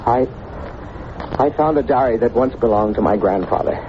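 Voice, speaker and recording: An actor playing an obsessed, embittered small-town murderer with formal, brooding phrasing in an old-time radio drama. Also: male voice, medium pitch, normal speed, close to an everyday voice. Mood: confessing. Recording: muffled, noisy old radio recording.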